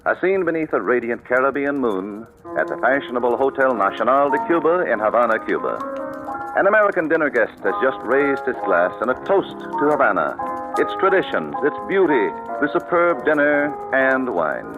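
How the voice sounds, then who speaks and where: 1940s male commercial announcer, scripted and stately sales read, animated pitch, faint music, tinny broadcast recording. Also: moderate pace. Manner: formal, polished salesmanship. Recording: tinny vintage radio broadcast, music behind.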